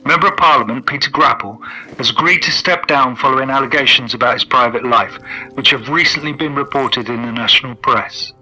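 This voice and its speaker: Male radio newsreader, very sharp bright tone, formal, measured, radio effect, music behind. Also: medium pitch, low-quality recording. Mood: formal, neutral. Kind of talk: scripted news reading.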